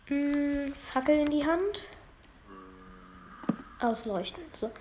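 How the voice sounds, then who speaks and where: A woman feeling under the weather, talking through a game in a halting, apologetic way and often losing her words. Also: high voice, a little mellow, a little hiss or hum. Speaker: let's play gamer. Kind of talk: casual gameplay commentary.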